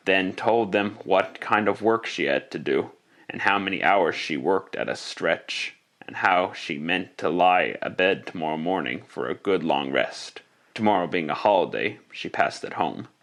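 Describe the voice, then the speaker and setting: A man's voice: low voice, expressive, measured pace, fairly bright tone, heavily acted. A man playing the storyteller of a Victorian Christmas tale, reading scripted narration in classic radio style. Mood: measured storytelling.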